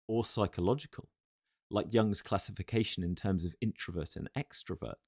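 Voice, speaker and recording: A man reading a philosophical text as scripted narration, in a formal, measured and deliberate way. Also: lowish voice, darkish tone, dry recording, clean studio sound. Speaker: audiobook narrator.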